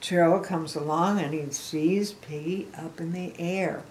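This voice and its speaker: A woman's voice: lowish voice, fairly even intonation, measured pace, darkish tone, a little hiss or hum. An older woman reading a picture book aloud to small children, explaining the pictures as she goes. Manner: explains the pictures, addresses the listener. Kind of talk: expressive storybook read-aloud to small children.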